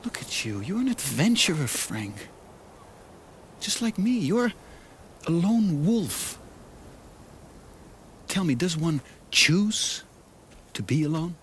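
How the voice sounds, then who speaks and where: A smooth-talking salesman. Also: fairly high pitch, very animated, takes it slowly, long pauses, fairly bright tone, a little hiss or hum, heavily acted. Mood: persuasive. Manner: coaxing, theatrical, salesy. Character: smooth-talking male salesman, eager and persuasive. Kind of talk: animated film voice acting.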